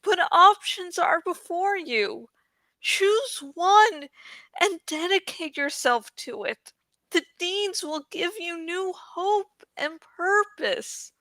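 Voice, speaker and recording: A woman acts out a calm, wise female dragon from a fantasy storybook. The high voice moves slowly and solemnly, softer and hushed, in a dry close sound. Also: dry recording, heavily acted. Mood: solemn, consoling.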